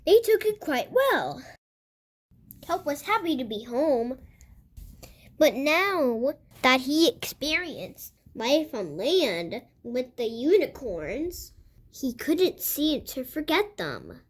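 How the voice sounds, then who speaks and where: A child reading a picture book aloud for other kids, with expressive, emphatic storytelling. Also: sits high, slow pace. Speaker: host of a read-aloud channel.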